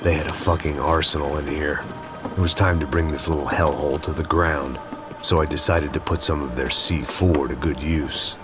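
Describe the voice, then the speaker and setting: An actor playing a cynical middle-aged American ex-cop, narrating his downfall in a brooding, deadpan hardboiled style for a video game. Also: rumbling low, moderate pace. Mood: bitter, brooding. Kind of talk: hardboiled noir scripted narration. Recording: voice over loud music.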